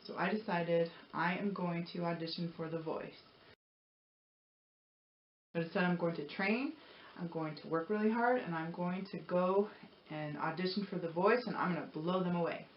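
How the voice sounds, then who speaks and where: A woman's voice: lowish voice, normal speed. A woman telling her personal story in a casual, motivational vlog style, conversational and repeating phrases for emphasis. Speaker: vlogger. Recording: roomy echo, dull sound, faint noise.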